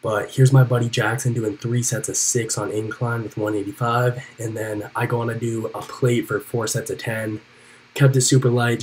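Laid-back young man, low-key tone. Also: darkish tone, breathy edge, low-quality recording. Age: young adult. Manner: laid-back, rambling with many "like" fillers. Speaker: fitness vlogger. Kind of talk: casual vlog narration. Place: house and car, handheld. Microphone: handheld camera, later a voice-over mic.

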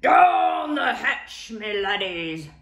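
A woman voicing a loud, blustery male pirate captain who drawls his words slowly and bellows. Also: on the high side, animated, vocal fry, roomy sound, full character voice. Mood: bellowing. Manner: slow, drawn-out pirate talk, bellowing.

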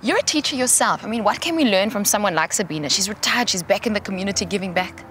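A woman with a South African accent presenting a TV segment, asking brisk, chatty questions. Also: medium pitch, lively intonation, fairly bright tone, a little hiss or hum. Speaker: TV presenter, narrator. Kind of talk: TV presenting and narration. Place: outdoors.

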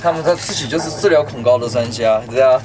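A man's voice: medium pitch, quick pace, crowd noise, poor audio. A native Chinese-speaking man chatting casually as a travel companion in a cable car. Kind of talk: casual chat. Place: inside cable car cabin.

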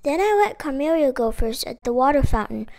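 A woman reading a children's picture book aloud in an expressive, emphatic storytelling manner. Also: high-pitched, brisk, slight background noise. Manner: expressive, emphatic delivery with dramatic exclamations. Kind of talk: animated children's read-aloud.

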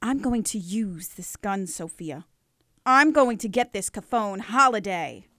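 A woman's voice: medium pitch, expressive, measured pace, fairly bright tone, no echo, clean studio sound. A woman playing a grieving, vengeful crime-family matriarch in a noir radio drama, full of menace. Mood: bitter, vengeful.

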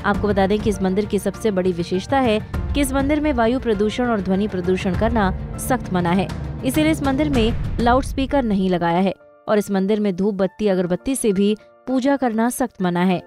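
A woman's voice: medium pitch, normal speed. A woman reading a scripted news report as the narrator. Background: music.

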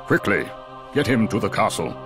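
A man's voice: on the low side, very animated, moderate pace, music in the background. A man voicing a dignified, concerned older sage ruler in a video game, stately and commanding. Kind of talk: video game cutscene acting.

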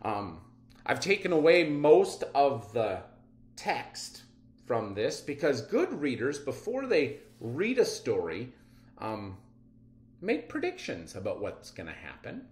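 A man's voice: mid-range voice, wildly swooping pitch, slow. A middle-aged man teaching a children's reading lesson, explaining expressively from an indoor room. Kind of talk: instructional read-aloud lesson for children. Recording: roomy echo.

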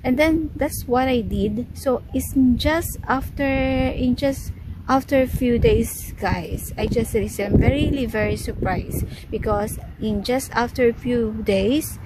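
A chatty woman in her thirties talks to her phone camera at arm's length in an open park. She drops guys into almost every sentence and her phrasing is plain and non-native. Steady outdoor noise and passing traffic sit under her voice. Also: fairly high pitch, a little slow. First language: Filipino. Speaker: travel vlogger. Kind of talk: casual vlog chat to camera. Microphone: phone camera at arm's length, no headset.

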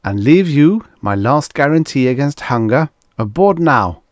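Guy doing a scornful cavewoman for a story, defiant n scheming, voice pushed up high. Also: very animated, measured pace, no echo, studio quality. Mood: indignant. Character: defiant, hard-bitten, scheming primitive woman.

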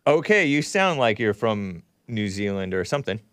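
A man's voice: fairly low pitch, big pitch swings, normal speed, fairly bright tone, clean tone, no echo. A man in his thirties hosting a call-in show, chatting casually into a close studio microphone. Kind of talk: casual talk-radio chat. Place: small studio. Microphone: broadcast microphone on boom arm, close.

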